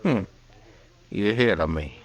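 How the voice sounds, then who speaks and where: An actor playing a menacing gunfighter in an old western radio drama, drawling, insolent and taunting. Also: male voice, lowish voice, lively intonation, slow pace. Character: cocky, menacing Old West gunman.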